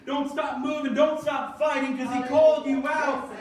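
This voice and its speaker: A man preaching a sermon, moving from measured scripture reading to emphatic, rising calls. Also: very high voice, little pitch movement, crowd noise. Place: church sanctuary. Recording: echoey church, mic far off, congregation responding.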